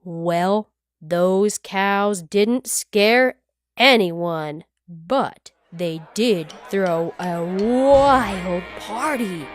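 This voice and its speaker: A woman reading a children's picture book aloud, with expressive, unhurried delivery. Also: medium pitch, soft background music. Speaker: storybook reader.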